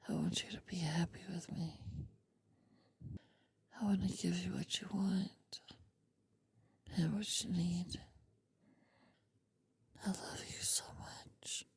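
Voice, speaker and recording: A very breathy, whispered voice, close and dry: a woman acting as a sleepy, adoring girlfriend in an ASMR roleplay. She speaks slowly and tenderly, soothing her partner toward sleep with intimate pillow talk. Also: fairly low pitch, fairly even intonation, no echo, exaggerated voice. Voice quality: breathy, mostly unvoiced whisper.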